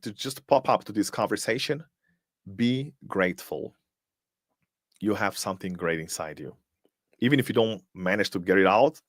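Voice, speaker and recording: Emphatic low-voiced man, lively delivery. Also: no room sound, clean studio sound. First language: Portuguese. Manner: emphatic, fires rhetorical questions, repeats words while searching. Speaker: motivational speaker and host. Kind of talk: live-streamed motivational talk.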